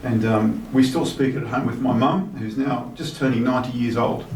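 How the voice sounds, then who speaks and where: A man in his fifties giving a speech, reading a message and adding personal remarks in a relaxed way with "um" fillers. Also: medium pitch, moderate pace. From Australia. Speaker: guest speaker reading a minister's message. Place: large hotel reception hall. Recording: echoey hall from far off with faint noise.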